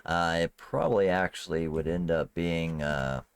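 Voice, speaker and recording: Low-voiced man running a tabletop game. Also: a little slow, creaky, smooth, no echo. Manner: conversational, often asks "you know what I mean". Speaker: game master. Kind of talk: improvised narration of a tabletop role-playing game.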